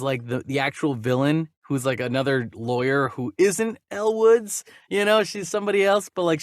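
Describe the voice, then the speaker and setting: A man with a slightly high voice talks movie news as a podcast host. His pitch swings in a lively way and his tone is jokey and irreverent, recorded close and dry. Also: no room sound. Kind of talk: casual movie news chat, reading articles aloud.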